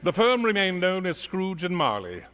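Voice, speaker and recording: A man narrating a story theatrically in a wry, formal, deliberate way, in an echoey theater hall. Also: high-pitched, very animated, fairly bright tone. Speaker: stage narrator and presenter. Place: theater stage.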